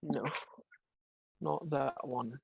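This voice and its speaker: Gravelly casual male trading coach. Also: mid-range voice, drawn-out words, no echo. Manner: casual, coaching, reads out chat questions. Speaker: trading instructor. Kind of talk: live online trading class.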